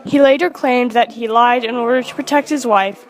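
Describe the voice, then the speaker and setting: A woman's voice: a little high, steady tone, normal speed. A woman reading scripted narration aloud as a student presenter.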